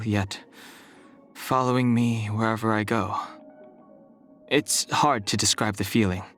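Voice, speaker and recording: A man voicing a stoic, reserved young dragon-descended warrior in a video game, calm, formal and solemn, burdened by his past. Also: lowish voice. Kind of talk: video game character voice lines.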